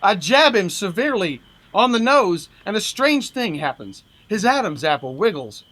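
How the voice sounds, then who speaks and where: A man's voice: high voice, very animated, normal speed, a bit bright. A man reading a pulp humor story as a brash, wisecracking old-time boxing manager, punchy and declamatory. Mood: brash, bemused.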